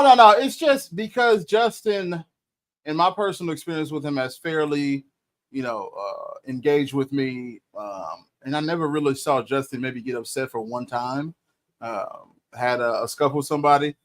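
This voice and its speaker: A man's voice: medium pitch, normal speed, a bit bright. A man co-hosting a podcast, talking sports casually, weighing his words with frequent ums and hedges. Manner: thoughtful, hedging, with frequent ums. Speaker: podcast co-host.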